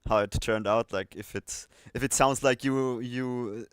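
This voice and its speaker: A man's voice: medium pitch, fairly even intonation, a little slow, smooth, no echo. A man hosting a casual livestream chat, talking in a hesitant, rambling way. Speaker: livestream host.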